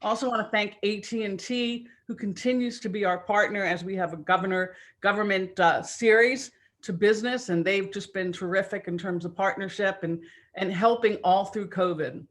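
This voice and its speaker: A man hosts a live business webinar over a video call, upbeat and chatty, with "you know" and "just" slipping in as he talks. His voice sits high and sounds bright and forward. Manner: upbeat, thanks sponsors, chatty fillers. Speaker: host of business webinar series.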